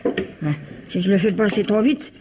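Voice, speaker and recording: A woman's voice: mid-range voice, normal speed, background noise, natural voice. An actress playing a cheeky household servant in a radio mystery, grumbling back at her employer. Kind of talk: French radio mystery drama acting.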